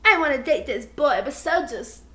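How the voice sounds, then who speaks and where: A young woman with a notably high, bright voice talks as a podcast co-host. She chats casually and tells stories in loose, slangy phrasing, with "like" dotted all through. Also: expressive, moderate pace. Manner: informal, slangy, heavy use of "like". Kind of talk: casual chat and storytelling between friends.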